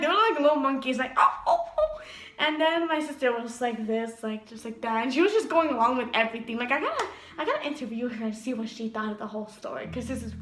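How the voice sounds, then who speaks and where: A young woman telling a storytime tale in a vlog, animated and giggly, acting out voices as she goes. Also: sits high, slight background noise. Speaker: vlogger. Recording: echoey room.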